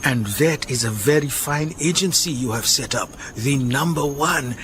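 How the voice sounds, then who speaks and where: An actor playing a shy, kindly, worrying mechanic with a Southern African accent in a radio drama, speaking formally and hesitantly. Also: male voice, medium pitch, lively intonation, a little slow, fairly bright tone. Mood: admiring. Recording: noticeable background noise, chirping night insects.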